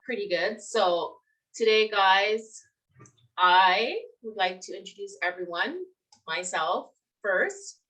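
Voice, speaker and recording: A young woman with a mid-pitched voice co-hosts a relaxed livestream, speaking slowly and chattily and giggling often. She fills her talk with casual fillers as she asks questions. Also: poor audio. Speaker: co-host, game fan group.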